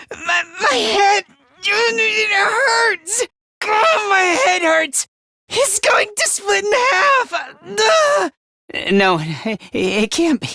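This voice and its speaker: Casting: fragile, timid teen boy for a video game; high androgynous voice, slow and hesitant, swinging widely in pitch. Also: bright, forceful tone, no room sound, heavily acted. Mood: agonized, panicked. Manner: timid, hesitant, stammering, emotionally volatile. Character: anxious, self-deprecating, frail teenage boy, unstable under strain. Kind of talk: video game voice acting, dramatic.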